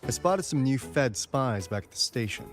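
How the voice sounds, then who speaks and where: Man acting a easygoing young scout guy, very animated intonation, game dialogue, faint music. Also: mid-range voice, moderate pace, natural voice. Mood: wary.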